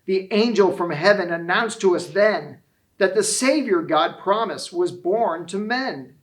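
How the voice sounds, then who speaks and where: A man's voice: sits high, animated, a little slow. A kindly old grandfather from a children's storybook, voiced by a man. He is a former shepherd, sorrowful and tender, and he tells his memories in earnest rhyme. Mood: earnest, reminiscing. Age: older.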